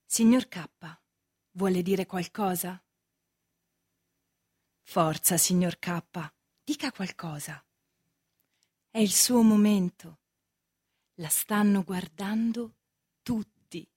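An actress playing an insistent woman in a radio play, urging in drawn-out phrases with a husky voice. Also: mid-range voice, darkish tone, no echo, studio quality. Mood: urging, pressing. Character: insistent woman urging an accused man to speak. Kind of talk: theatrical radio drama acting.

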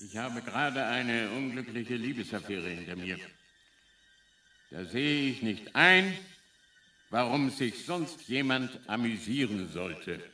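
An actor playing a spiteful, bullying alien spaceship captain in a radio drama, barking menacing announcements with sadistic relish. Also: male voice, medium pitch, animated, slow pace. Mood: commanding, bitter. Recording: echoing ship loudspeaker, slightly distant.

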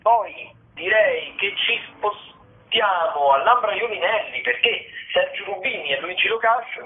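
A man's voice: on the high side, a little hiss or hum. Man on a tinny phone line. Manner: hesitant, discursive, with filled pauses. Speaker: theatre critic, radio guest presenting listings. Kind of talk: conversational radio listings commentary.

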